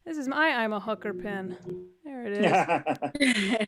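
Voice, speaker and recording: A woman's voice: mid-range voice, very animated, slow pace, a crowd in the background. A woman with a Texan accent hosting a live stream, chatting casually and joking in rambling asides. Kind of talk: casual comedic chat.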